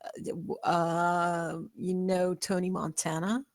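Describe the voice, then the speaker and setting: A woman's voice: lowish voice, flat delivery, takes it slowly, dry recording, clean studio sound. A woman playing a plain-spoken, coaxing defense lawyer in a comedy table read, matter-of-fact. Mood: patient, coaxing.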